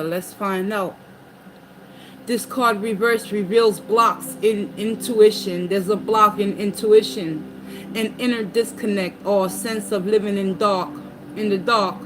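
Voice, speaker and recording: A woman of middling pitch runs through a tarot reading, reading card meanings and affirmations aloud and then slipping into casual asides to her viewers. A steady background noise sits under her voice throughout. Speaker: tarot reader.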